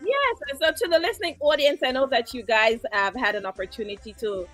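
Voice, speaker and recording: A woman's voice: high-pitched, fairly quick, fairly bright tone, faint music behind. A Jamaican woman hosting a casual live-stream interview, laughing often as she talks. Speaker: host.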